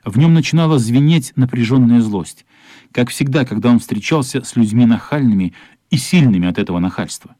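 A man's voice: mid-range voice, brisk, mellow, no echo, clean studio sound, close to an everyday voice. A man narrating a children's radio play as a scripted storyteller.

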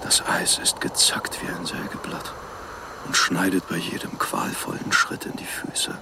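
An actor playing a starving, grimly resolved polar captain in a radio drama, speaking a formal logbook monologue in a gravelly, often breathy voice. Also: male voice, low voice, heavily acted. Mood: strained, driven. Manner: grave, formal, deliberate diary delivery. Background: music.

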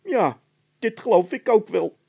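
A man's voice: high-pitched, animated, measured pace, gravelly, dark tone, slightly rough, pitched above the performer's own voice, exaggerated voice. A man voicing a timid, whining workman who refuses to budge, speaking emphatically in a storybook tale. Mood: nervous. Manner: whiny, emphatic.

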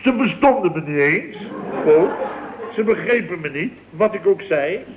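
A man's voice: sits high, animated, moderate pace, noisy background. An actor playing a blustering, exasperated Dutch company boss in a late-sixties radio comedy, exclaiming his way through anecdotes. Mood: aggrieved. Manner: exclamatory, anecdotal, full of tag questions. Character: blustering, exasperated male boss of a building firm. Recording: dull old radio recording.

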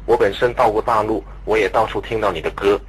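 A man's voice: fairly low pitch, steady tone, fast, husky, poor audio. A man conducting a conversational radio-style interview, putting long, polite questions.

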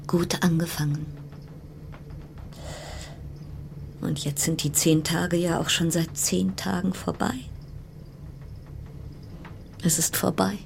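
An actress playing a brittle, self-reassuring woman isolated in a ship's cabin, musing haltingly and repetitively in in a radio play, at times dropping into whispers. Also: fairly low pitch, gravelly, noisy background. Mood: brittle reassurance. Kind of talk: German radio play monologue.

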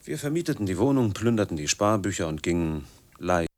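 A man's voice: low voice, very animated, brisk, darkish tone. An actor playing an embittered man in his forties who has fled from noise, delivering a brooding radio drama monologue in clipped, emphatic fragments. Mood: bitter, haunted. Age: middle-aged.

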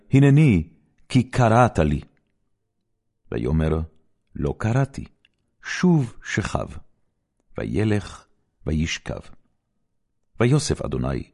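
Deep-voiced man reading scripture expressively. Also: darkish tone. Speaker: audiobook narrator reading scripture. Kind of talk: dramatic scripted Bible reading.

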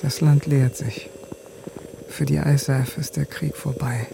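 An actor playing a cold, haunted soldier who narrates in a terse, detached, deliberate way in a radio drama. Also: male voice, mid-range voice, flat delivery, vocal fry, noisy background. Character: cold, haunted male soldier turned lone avenger. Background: music.

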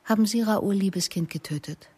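A woman's voice: medium pitch, fairly quick, dark tone, slightly rough. An actress playing a dogged, skeptical female police investigator in a radio drama, questioning in a probing, pointed way. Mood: confrontational.

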